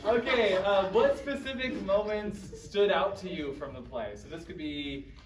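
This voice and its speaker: Higher-voiced man moderating, roomy and distant. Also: unhurried. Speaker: talkback moderator. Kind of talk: casual audience discussion. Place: roomy indoor performance space, far microphone. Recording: echoey, distant, noisy room recording.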